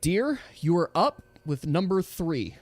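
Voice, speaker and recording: A man's voice: on the high side, big pitch swings, a little slow, a little mellow, no echo. A man acting as game master in a casual tabletop game, explaining rules step by step. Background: background music.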